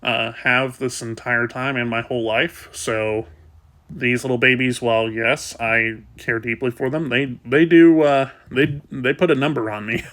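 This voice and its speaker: Casual vlogger, male, congested and sniffly, soft rambling delivery, mid pitch. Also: steady tone, unhurried. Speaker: kitten rescuer. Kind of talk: casual vlog talk.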